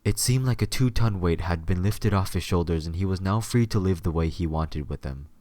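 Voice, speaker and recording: A man narrates a scripted story in a low-pitched voice. The recording is close and dry, with hardly any room sound. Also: fairly quick, a little mellow, no room sound.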